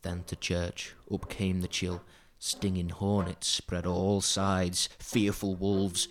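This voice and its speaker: An actor playing a grave chronicler, declaiming dire alliterative verse in a solemn, measured way. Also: male voice, low-pitched, completely flat delivery, a little hiss or hum. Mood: grave foreboding. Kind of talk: dramatic alliterative verse recitation. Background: music.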